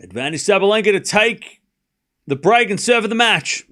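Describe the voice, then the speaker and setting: A man's voice: high-pitched, lively intonation, moderate pace, bright, forceful tone. A man with a mild Australian accent hosting a live tennis watchalong, mixing commentary with casual chat and repeating phrases for emphasis. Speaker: livestream watchalong host and commentator.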